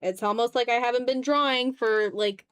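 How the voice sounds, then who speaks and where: A woman's voice: a little high, moderate pace, smooth, dry recording. Young woman muttering casually, dry close sound. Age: 20s. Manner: muttering to self, self-deprecating, casually sweary. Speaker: art streamer. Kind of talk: casual livestream chat while drawing. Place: room at home.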